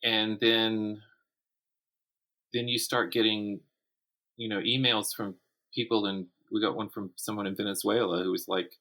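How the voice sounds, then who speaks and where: A man chatting casually as an interview guest, telling stories in animated, stop-start phrases. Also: fairly low pitch, a little slow, long pauses, poor audio. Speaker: podcast guest, writer and writing teacher.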